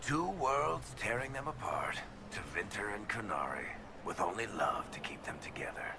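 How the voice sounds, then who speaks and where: A man's voice: lowish voice, big pitch swings, moderate pace, vocal fry, background noise. A man playing a roguish dwarf storyteller in a video game, teasing and melodramatic. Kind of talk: video game banter acting.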